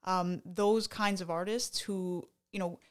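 A woman's voice: fairly low pitch, fairly even intonation, slow, crowd noise. A Canadian woman co-hosting a podcast, chatting casually about a concert with many fillers and restarts. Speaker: podcast co-host. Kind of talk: casual chat.

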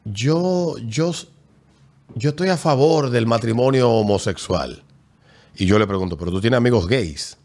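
A man with a mid-pitched voice, co-hosting a podcast. He argues in a measured way and recounts conversations he has had with people. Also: expressive, darkish tone. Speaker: podcast co-host. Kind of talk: casual political chat.

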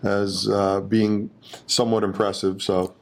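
A middle-aged man answering interview questions over a video call, relaxed and repeating words as he goes. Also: lowish voice, a little slow, creaky. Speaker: guest, parent and businessman.

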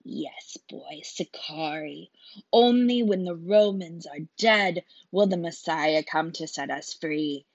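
A woman voicing a cold, fervent male assassin who talks in a low, menacing hiss. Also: slow pace, no room sound, dull audio, full character voice.

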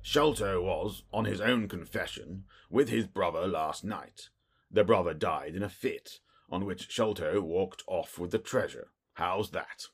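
A man voicing a stout, self-important middle-aged police detective in an audiobook, with a muffled, husky, wheezy voice and blustering, clipped phrases. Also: low-pitched, unhurried, full character voice. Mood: self-satisfied. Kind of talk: audiobook character voice.

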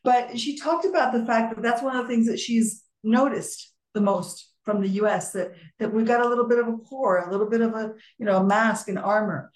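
Podcast co-host: woman, higher-pitched voice, animated conversational storytelling, roomy echoey sound. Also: brisk, hardly any pauses. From Colombian American, United States. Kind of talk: casual podcast chat. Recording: echoey room.

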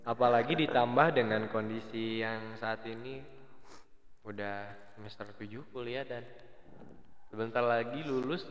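A man's voice: mid-range voice, a little slow, long pauses. A man in his twenties hosting a casual podcast chat, candid and self-doubting, rambling with fillers. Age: 20s. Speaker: podcast host. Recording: echoey, dull lo-fi podcast with music bed.

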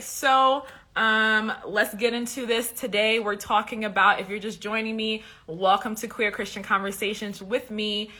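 Chatty woman from liberia hosting a live stream, bright voice, lots of ums, talks right to the viewers. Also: medium pitch. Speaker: podcast host and coach. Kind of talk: casual live-stream chat.

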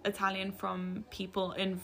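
A woman's voice: medium pitch, completely flat delivery, normal speed, sharp tone, slight background noise. A young woman from Africa, co-hosting a casual podcast chat and rambling as she corrects herself. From South Africa. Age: young adult. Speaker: podcast co-host.

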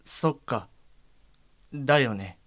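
A man's voice: medium pitch, takes it slowly, fairly bright tone, a little hiss or hum. An actor playing a thoughtful, perceptive blind man in an audio drama, talking in a reflective, unforced way. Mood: pensive. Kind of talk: Japanese audio drama acting.

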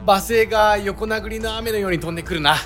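A man's voice: high-pitched, a little fast, a bit bright, faint music behind. A man playing an earnest, panicky young sightseer in a comedic radio drama, speaking in wordy, melodramatic lines. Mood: grave dread.

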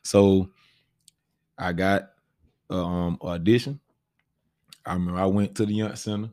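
A deep-voiced American man, a stage actor, talks casually about his experiences. He speaks in slangy, conversational style, often checking that the listener follows. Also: steady tone, measured pace, warm tone. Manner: slangy, often says "you know what I'm saying".

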